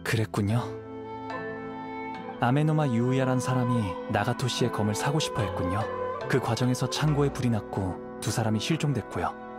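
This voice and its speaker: A man plays a gentle young swordsman looking into a mystery in a video game. His medium-pitched voice is calm, courteous and measured, and music plays clearly underneath. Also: natural voice. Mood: attentive.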